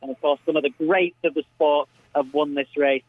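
Australian male, matey and enthusiastic racing tipster, slightly high voice, phone-quality sound, conversational commentary. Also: fairly even intonation, a little fast, no echo. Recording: thin phone line.

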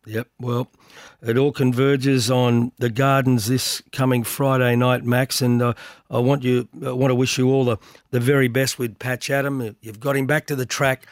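An Australian man with a husky voice, hosting a racing radio show, talking with lots of hesitant fillers. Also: medium pitch, level tone, normal speed, no echo, studio quality. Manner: hesitant, many filler words. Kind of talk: radio interview and news roundup. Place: radio studio.

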